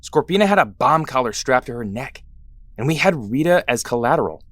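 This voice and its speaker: A man acting a cautious, level-headed young superhero in an audio drama, measured and sincere. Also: medium pitch, animated, a bit bright, no room sound. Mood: cautious, weary.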